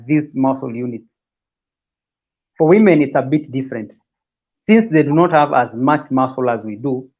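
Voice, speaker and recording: A man's voice: medium pitch, a little mellow, dull audio. A man from Kenya, a guest on a fitness livestream, talking casually and often repeating words as he explains.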